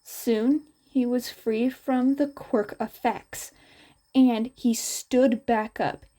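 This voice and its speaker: A woman reads fiction aloud as a narrator. Her voice sits a little high, with a mellow, soft-edged tone. She takes her time, moving through the story at a very slow pace. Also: fairly even intonation. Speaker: podfic narrator.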